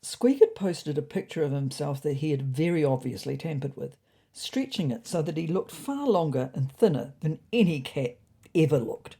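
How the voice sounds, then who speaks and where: A woman's voice: low-pitched, animated, moderate pace, gravelly, dark tone, husky. A woman narrating a scripted comic story.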